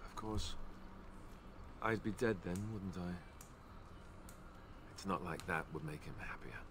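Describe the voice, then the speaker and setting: A man's voice: low voice, unhurried, noisy background. A man playing a young, self-deprecating warrior in a video game, wry but earnest and a little hesitant. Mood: somber, apologetic. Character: young male warrior, self-deprecating, sarcastic yet tender-hearted. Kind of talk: video game character dialogue.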